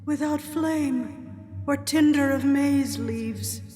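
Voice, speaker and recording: An actress playing a sorrowful dead woman returned as a prophetic spirit, speaking solemn verse in a slow, grave incantation in a radio drama. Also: sits high, warm tone. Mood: grave, mournful. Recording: long cavernous reverb with music.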